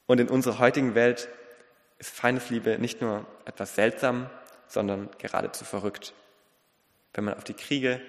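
A man delivering a sermon in an earnest, deliberate way, in short phrases with pauses and a slight lisp. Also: mid-range voice. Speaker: preacher. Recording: long reverberant hall.

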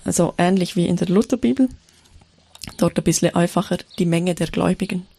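A woman giving a sermon in a thoughtful, searching way, often pausing with fillers and restarting her sentences. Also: on the low side, creaky, mellow, slightly rough. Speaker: preacher.

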